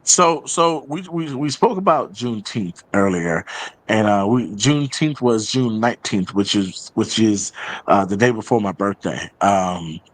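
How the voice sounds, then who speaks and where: A man's voice: lowish voice, animated, a little slow. A man hosting a show, chatting casually through announcements and often repeating phrases for emphasis. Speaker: show host and playwright.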